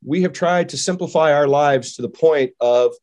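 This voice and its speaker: A man's voice: fairly high pitch, normal speed, darkish tone. A man hosting an online talk show, effusive and upbeat as he welcomes and agrees with his guest. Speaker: host. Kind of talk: enthusiastic show host.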